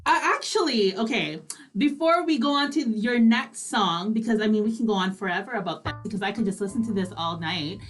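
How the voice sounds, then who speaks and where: A woman's voice: medium pitch, animated, brisk, soft background music. A woman hosting a casual live interview, chatting in a gushing, giggly way. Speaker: radio show host.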